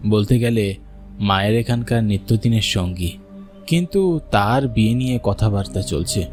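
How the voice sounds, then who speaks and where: An actor playing a worried grown son, narrating a horror cartoon in an expressive, wistful first-person storytelling style. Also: male voice, mid-range voice. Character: worried city-working son narrating his eerie journey home. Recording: music behind the voice.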